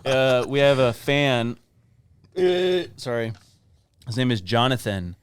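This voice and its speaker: Young male podcast co-host, clean smooth voice, close dry mic, playful and jokey. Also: medium pitch, expressive, takes it slowly, dry recording. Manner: jokey, breaks into goofy singing and accents. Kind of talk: casual comedic chat.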